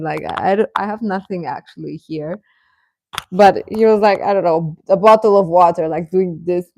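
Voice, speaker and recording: A woman hosting a casual reaction chat, talking in an animated, giggly, rambling way and often repeating phrases for emphasis. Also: medium pitch. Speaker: livestream host chatting with viewers.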